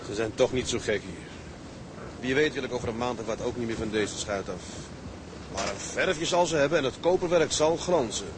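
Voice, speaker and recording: A man's voice: mid-range voice, moderate pace, natural voice. An actor playing a conscientious ship's first mate in a Dutch radio drama, stern with his crew and tender as a husband. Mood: determined. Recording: noisy drama track with loud ambience.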